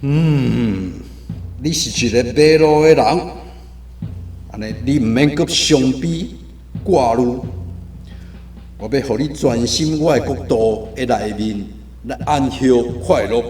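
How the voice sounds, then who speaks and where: An actor with a Taiwanese accent playing a domineering king of a dark realm in a radio drama, speaking in a commanding, oratorical way. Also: mid-range voice, slow, long pauses, close to an everyday voice. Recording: echoey studio with music behind.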